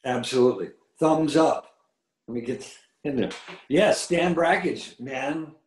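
Older man, low baritone, enthusiastic, rambling, video call, roomy echo. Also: measured pace, a little hiss or hum. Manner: rambling, enthusiastic, many fillers. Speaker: guest musician. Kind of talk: casual interview chat. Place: home room with painted mural, video call. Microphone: video call webcam.

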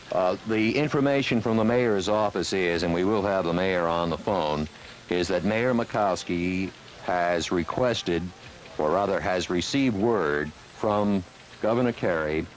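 An American man delivering a live news bulletin on 1970s radio. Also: deep voice. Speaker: radio newsman. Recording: noisy 1970s radio aircheck cassette.

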